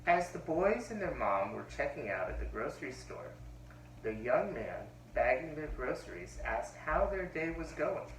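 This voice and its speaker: A man reading a children's picture book aloud as a parent, in an expressive manner. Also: on the high side, unhurried. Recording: roomy echo, far from the mic, faint hum.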